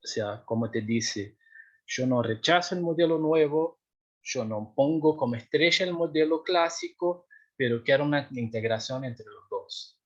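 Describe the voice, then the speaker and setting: A man's voice: medium pitch, animated, measured pace, lo-fi sound. A young man, a doctoral researcher explaining his work in a seminar, hesitant with many fillers and restarts, often checking if he is understood. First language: Portuguese. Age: young adult.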